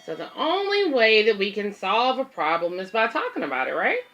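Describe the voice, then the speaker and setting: A woman's voice: mid-range voice, huge pitch swings, normal speed, a bit bright, highs cut off, faint music behind. A woman hosting a children's read-aloud, reading a picture book and explaining it simply to young listeners.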